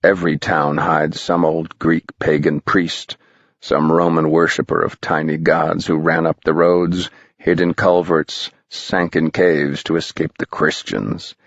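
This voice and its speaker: A man reading an audiobook, voicing a mischievous, gleeful old male spirit guide in a low, old-fashioned manner. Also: level tone, a little slow, dry recording, highs cut off, full character voice. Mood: gleeful, spooky.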